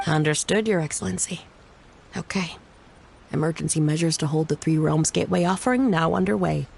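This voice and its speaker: A woman's voice: low voice, brisk, creaky, darkish tone, slight background noise. A woman playing a serious, dutiful military aide in a fantasy game, formal, earnest and deferential. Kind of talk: scripted fantasy game acting.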